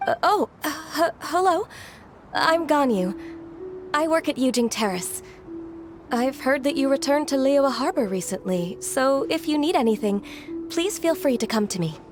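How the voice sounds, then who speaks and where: An actress playing a gentle, earnest, polite young secretary in a game. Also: female voice, high voice, expressive, moderate pace. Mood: shy, helpful. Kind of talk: scripted game dialogue. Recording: music behind.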